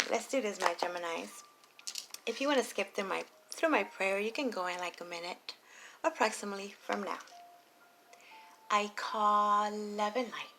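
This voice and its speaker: A woman reading tarot late at night, talking softly and low and slipping into whispers. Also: vocal fry, heavy background noise. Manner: soft and low, drifting into whispers. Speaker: tarot reader.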